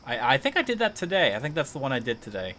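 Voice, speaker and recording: A man's voice: medium pitch, wildly swooping pitch, fast talker, sharp tone, slight background noise. A man chatting casually and thinking aloud as he streams a video game, in a playful manner.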